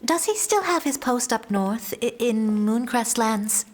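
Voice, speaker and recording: A woman playing an anxious, guarded young noblewoman in a fantasy audio drama, speaking in measured, formal, earnest phrases. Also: on the high side, expressive. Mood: earnest, insistent.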